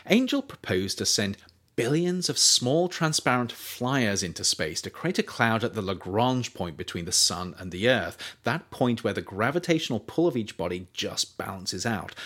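Man, low voice, lively rise and fall, author reading his own nonfiction audiobook, measured delivery. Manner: measured, animated intonation. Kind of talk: audiobook narration.

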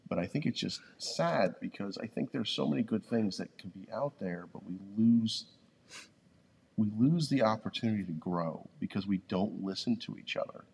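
A man co-hosting a podcast in casual conversation, with a very deep, gravelly voice, speaking haltingly and thoughtfully, lying on a yoga studio floor close to the mic. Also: darkish tone. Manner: halting, restarts often, thoughtful. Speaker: podcast co-host. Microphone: podcast mic, leaning in close.

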